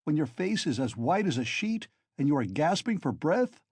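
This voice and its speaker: A man's voice: on the high side, sing-song, normal speed, breathy edge, dry recording, pitched above the performer's own voice. A man doing a blustering hot-tempered friend. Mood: furious scolding. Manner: shouting, scolding.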